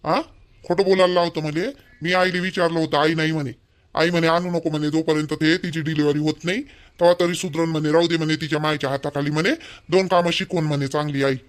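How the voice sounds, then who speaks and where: A man plays a scolding young village husband in a homemade cartoon. His voice sits high, and he nags bluntly and repetitively in a rural Vidarbha Marathi accent. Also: steady tone, fast, close to an everyday voice. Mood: scolding, accusing. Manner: blunt, nagging, repetitive complaining. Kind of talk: amateur cartoon dialogue in rural dialect.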